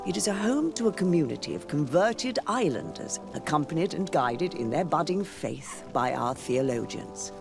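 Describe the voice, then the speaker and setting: A stately church matriarch speaks in a low female register with lively swings of pitch. She is formal, pious and persuasive, a solemn game character with music clearly behind her. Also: moderate pace, few pauses. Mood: solemn, explanatory. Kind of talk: scripted video game dialogue.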